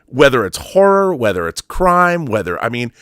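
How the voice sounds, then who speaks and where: Male YouTube host, mid-pitched, dry close recording; blunt, off-the-cuff opinions with halting, emphatic repetition. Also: big pitch swings, fairly bright tone, no echo. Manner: halting, repeats words for emphasis; blunt, sweary. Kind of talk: casual, opinionated monologue to viewers.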